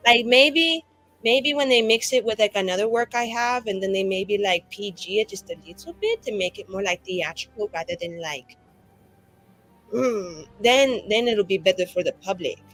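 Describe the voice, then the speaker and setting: A woman's voice: mid-range voice, normal speed, fairly bright tone, soft background music, lightly acted, rough recording. A woman playing a flamboyant, tipsy elf writer who rambles and boasts with self-dramatising flair in a tabletop game. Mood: boastful, tipsy.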